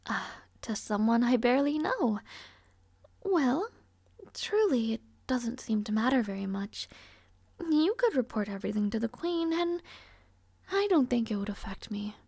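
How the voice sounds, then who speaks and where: A woman's voice: a little high, darkish tone, husky, no room sound, heavily acted. A woman playing a rude, arrogant but somewhat shy young princess in an audio roleplay, speaking in a formal, languid, world-weary way. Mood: weary, resigned. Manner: haughty, languid, sighing, formal wording. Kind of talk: scripted audio roleplay acting, addressing the listener. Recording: close, dry, no ambience.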